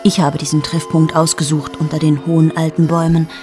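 A low-pitched woman in her late thirties voiced by an actress confides in a radio play monologue. She is cool and self-absorbed, hushed and deliberate, often sinking towards a whisper, with faint music around her. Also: flat delivery, lightly acted. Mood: guarded, intimate. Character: 38-year-old female journalist, cool, amoral, confessional and self-absorbed.

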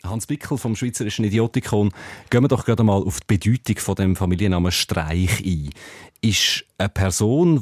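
A Swiss German man puts prepared questions as a radio journalist. His voice is fairly low and the sound is dry and close. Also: darkish tone, no room sound.

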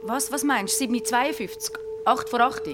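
A woman's voice: fairly high pitch, fairly quick, over loud music, natural voice. A woman with a Swiss German accent playing a concerned, caring friend in a naturalistic audio drama.

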